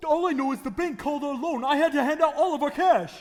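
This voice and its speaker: An actor playing flustered, excitable small-town men in old radio style, with theatrical, exclamatory delivery. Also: male voice, very high-pitched, quick pace. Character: several radio-play townsmen: cabbie, flustered uncle, menacing banker. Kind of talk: 1940s radio acting on stage. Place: theatre stage. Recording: echoey hall, live stage taping.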